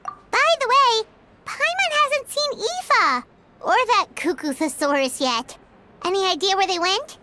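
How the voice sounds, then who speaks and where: A woman voicing a tiny, chirpy, childlike fairy companion in a video game, squeaky and obsessed with food. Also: lively intonation, measured pace, noisy background. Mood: curious. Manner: chirpy, refers to self in third person. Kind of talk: video game character acting. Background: clearly audible music.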